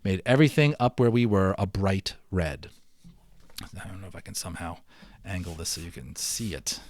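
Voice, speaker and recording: A man giving an expressive, enthusiastic dramatic reading of a children's novel, drawing out key words and slipping into casual asides. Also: sits low, moderate pace. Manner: expressive, enthusiastic storyteller, emphasizes and draws out words. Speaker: amateur audiobook reader.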